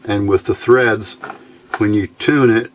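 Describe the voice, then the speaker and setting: A man with a fairly low voice gives a casual, hands-on electronics tutorial. He speaks very slowly, pausing and thinking aloud as he explains each part. A faint background noise sits under the voice. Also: steady tone. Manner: halting, unhurried, thinking aloud. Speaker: electronics hobbyist video host.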